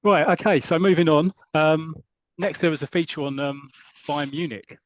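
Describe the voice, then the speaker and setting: A man's voice: on the high side, normal speed, no room sound, highs cut off. A man hosting a podcast in casual chat, retelling stories with enthusiasm. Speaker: podcast host.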